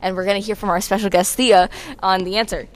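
A woman's voice: mid-range voice, fairly even intonation, fast talker. A teenage girl co-hosting a casual podcast, chatting about her week in a playful, self-mocking way. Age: teens. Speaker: podcast co-host. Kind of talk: casual chat with a friend.

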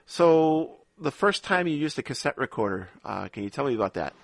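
A man's voice: on the high side, very animated, fairly quick, vocal fry. A man, chatting casually as the host of a podcast interview. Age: 50s.

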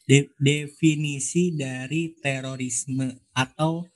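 A man's voice: mid-range voice, fairly even intonation, takes it slowly. A man, hosting a casual podcast in a chatty, informal way that often repeats words. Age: 20s. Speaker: podcast host, law student.

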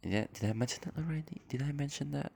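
A man's voice: mid-range voice, quick pace, vocal fry, darkish tone, slightly rough. A man from Indonesia, introducing his podcast in a hesitant, apologetic, rambling way full of fillers, recorded on a phone at home. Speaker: podcast host. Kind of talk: casual unscripted introduction.

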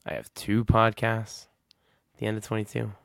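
A man's voice: lowish voice, completely flat delivery, moderate pace, gravelly, a bit bright, smooth, dry recording, studio quality. A man in his twenties hosting a solo podcast, talking casually and reflectively, rambling and often correcting himself. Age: 20s. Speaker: podcast host.

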